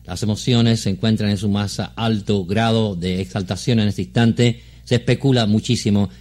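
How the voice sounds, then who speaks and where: Male newsreader for live radio bulletins, lowish register, formal and deliberate, studio setting. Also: fairly even intonation, a little mellow. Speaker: Spanish-language radio newsreader. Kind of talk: live breaking news announcement.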